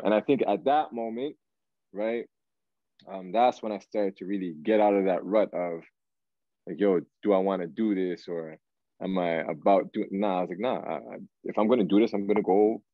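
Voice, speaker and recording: A man's voice: deep voice, normal speed, no room sound. A man, chatting casually as an interview guest, slangy and often checking that he is followed. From Canada, raised in Toronto. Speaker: guest, R&B singer. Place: indoor room. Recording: dull, lo-fi video call.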